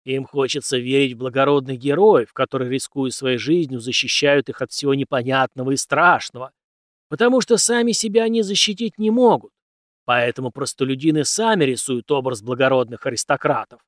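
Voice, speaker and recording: A man's voice: mid-range voice, fairly quick, no echo, studio quality, natural voice. A man voicing a stern, cynical, commanding mentor in an audiobook, lecturing emphatically with rhetorical questions. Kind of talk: audiobook character dialogue.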